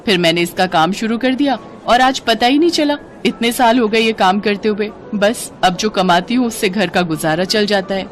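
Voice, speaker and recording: A woman's voice: mid-range voice, moderate pace, hardly any pauses, slight background noise, lightly acted. A woman voicing a humble, hardworking poor street food seller in a story, earnest and respectful. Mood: humble, grateful. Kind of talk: storybook character acting.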